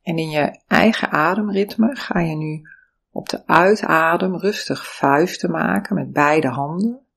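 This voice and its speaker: A meditation teacher leads a guided session at an unhurried, drawn-out pace. The delivery is calm and measured, with a gravelly texture running under each soothing instruction. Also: mid-range voice.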